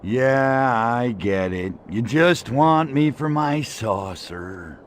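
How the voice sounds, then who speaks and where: An actor playing a brash, lecherous alien invader in a video game, with a cocky, sarcastic, slangy delivery. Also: male voice, medium pitch, expressive, slow, a little hiss or hum. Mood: grudging, sly. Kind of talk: video game cutscene acting.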